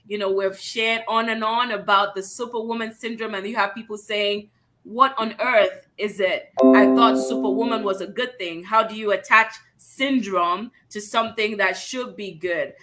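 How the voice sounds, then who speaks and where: A woman from Cameroon hosting a livestream, her voice bright and forward. She greets guests warmly by name and talks with upbeat enthusiasm, pitched in a medium range. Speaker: host.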